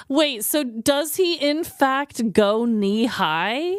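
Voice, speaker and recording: A woman co-hosts a podcast with casual banter and expressive reactions. Her voice is smooth and very lively in pitch, and she takes her time as she talks. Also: drawn-out words, dry recording, studio quality. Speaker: podcast co-host.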